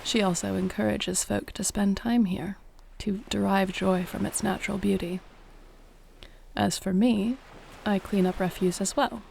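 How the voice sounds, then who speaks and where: Serene female mermaid played by a woman for sleep audio: mellow, soft-spoken, gently formal, unhurried soothing delivery. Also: medium pitch, fairly even intonation, gravelly, rough recording. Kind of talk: sleep-aid audio roleplay, soothing bedtime monologue. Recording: faint ambient ocean noise behind.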